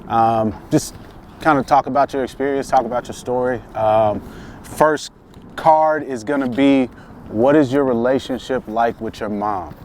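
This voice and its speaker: A man in his twenties from Texas, hosting a relaxed, open conversation with lots of fillers and easy laughs. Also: mid-range voice, a little slow, slight background noise. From Dallas, Texas. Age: 20s. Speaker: host. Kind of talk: casual conversation.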